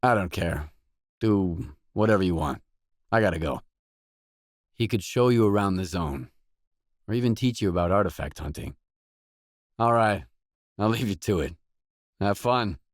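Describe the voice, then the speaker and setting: A male actor playing a weary, tough, guarded stalker mercenary in a video game, speaking in blunt, deadpan lines. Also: deep voice, moderate pace, long pauses, dry recording, studio quality. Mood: curt, menacing. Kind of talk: video game voice acting, isolated dialogue lines.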